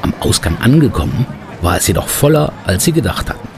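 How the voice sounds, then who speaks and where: A man's voice: fairly low pitch, sing-song, a little fast, gravelly, crowd noise, background noise. A man acting as the storyteller of a detective adventure radio play, reading scripted narration. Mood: narrating.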